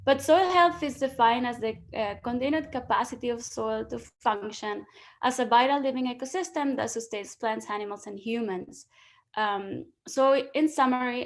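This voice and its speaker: A woman from Spain with a Spanish accent, giving an online science lecture as a guest speaker, explaining step by step with frequent fillers and restarts. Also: fairly high pitch. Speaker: guest lecturer, soil ecologist. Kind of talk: online presentation. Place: home or office, video call. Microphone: video call.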